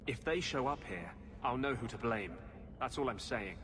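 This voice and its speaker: A man's voice: mid-range voice, animated, moderate pace, a crowd in the background, close to an everyday voice. A man playing an embittered, idealistic rebel mage in a video game, intense and grave. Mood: accusing. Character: embittered, earnest male rebel mage, brooding. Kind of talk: video game cutscene acting. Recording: clear background ambience noise.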